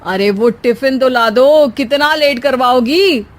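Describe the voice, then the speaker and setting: A woman acts out a bossy husband in a narrated household tale. He is hurried and impatient, ordering people around, then turns flippant and teasing. The delivery is slow-paced. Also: sits high.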